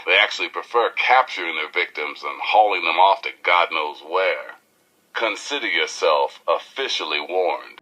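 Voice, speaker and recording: A man's voice: fairly low pitch, pushed and bright, heavily acted, poor audio. A man playing a brash, cheeky, streetwise rebel radio DJ with an American accent and theatrical patter.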